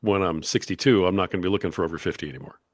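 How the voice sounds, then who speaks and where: A man's voice: low-pitched, racing, no room sound, clean studio sound. A man in his fifties hosting a podcast interview, friendly and affirming, using many fillers as he asks questions. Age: 50s. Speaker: podcast host. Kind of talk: casual interview.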